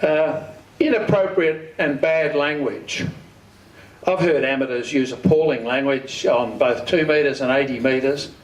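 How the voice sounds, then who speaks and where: A man's voice: mid-range voice, unhurried. A plain-spoken Australian man giving an informal instructional talk, often putting questions and answering them himself. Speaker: amateur radio club speaker. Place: community hall. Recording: echoey room, distant microphone.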